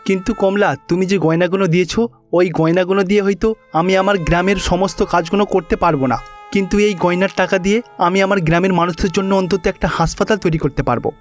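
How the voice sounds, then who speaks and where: A man plays a kindly village headman in a cartoon moral tale. The voice is high for a man, with an earnest, caring manner as he worries over his people. Music plays behind him. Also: steady tone, fast, close to an everyday voice. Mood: grateful, resolved. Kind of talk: cartoon story dialogue acting.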